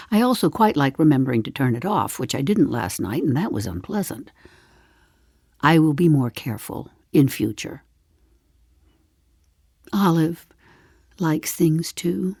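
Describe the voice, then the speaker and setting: An elderly woman in a darkly comic radio monologue. She is wry, suspicious and confiding, speaking slowly in a lowish voice that rises and falls expressively, recorded very dry and close. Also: a little mellow, no echo, full character voice. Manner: deliberate, confiding, with dry understatement. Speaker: actor in a one-woman play. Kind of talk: theatrical audio drama monologue.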